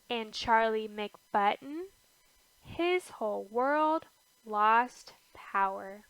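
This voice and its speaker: A woman reading a rhyming children's picture book aloud, with deliberate phrasing and pauses between short phrases. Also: fairly high pitch, a bit bright, no echo, low-quality recording. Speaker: storybook reader.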